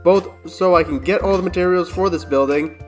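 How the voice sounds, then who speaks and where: A man's voice: sits high, brisk, a little mellow, soft background music. A man giving casual, chatty commentary over his gameplay, talking to himself as he builds. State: strained voice, recovering from voice loss. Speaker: Minecraft YouTuber.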